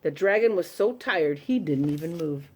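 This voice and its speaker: A woman telling a children's story to a congregation, expressive and animated. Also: low voice, moderate pace, husky. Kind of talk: reading a children's story aloud.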